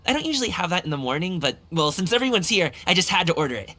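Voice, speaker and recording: A man's voice: high voice, very animated, very fast, pushed and bright, exaggerated voice. A man voicing a friendly, earnest young male dancer in a video game, speaking in an easygoing, casual way. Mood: friendly, hospitable. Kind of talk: video game character acting. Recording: faint game music behind the voice. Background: faint game music.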